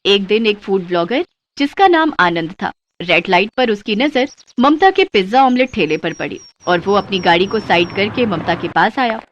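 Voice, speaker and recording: A woman's voice: mid-range voice, animated, normal speed, muffled recording, slight background noise. A woman delivering scripted moral-story narration as the narrator.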